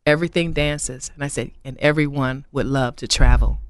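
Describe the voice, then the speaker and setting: A middle-aged woman from Chicago, a travel business owner talking as a guest in a casual interview, animated and repeating herself as she goes. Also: very deep voice, moderate pace, no room sound. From Chicago, USA. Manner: animated, repeats words and restarts often, laughs easily.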